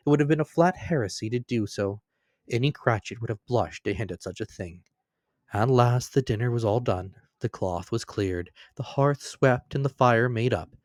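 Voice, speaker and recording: A man's voice: fairly low pitch, dry recording, clean studio sound. A man narrating a classic story as a dramatic reading, lifting and dropping his voice for emphasis. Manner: expressive, rising and falling for emphasis.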